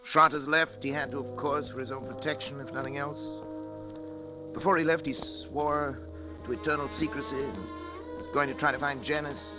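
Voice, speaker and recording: An actor playing a frightened, haunted middle-aged scientist losing his mind, speaking haltingly and melodramatically in 1940s radio drama style. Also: a little high, level tone, lightly acted. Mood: brooding, haunted. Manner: halting, melodramatic, repeats words. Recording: vintage radio recording with music.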